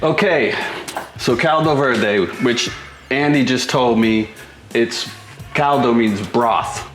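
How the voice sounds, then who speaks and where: A man's voice: medium pitch, slow pace, a bit bright. A middle-aged American man from Boston hosting a home cooking show, talking informally and thinking aloud. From Boston, USA. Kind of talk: casual cooking demonstration. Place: indoors in a home kitchen. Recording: roomy echo with music behind. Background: music.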